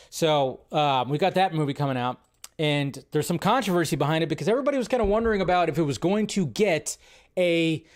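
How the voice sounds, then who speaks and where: A young adult man hosting a live movie news stream, chatty and rambling, a little sleep deprived. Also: fairly high pitch. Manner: chatty and rambling, loses his train of thought. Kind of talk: casual live-stream commentary.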